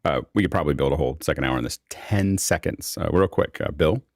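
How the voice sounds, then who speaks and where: Man, very deep voice, gravelly fry, casual moderator, halting restarts, online call. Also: dry recording, clean studio sound. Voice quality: gravelly, creaky. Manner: halting, repeats words and restarts mid-sentence. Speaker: host of an online panel discussion. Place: online video call, close dry sound.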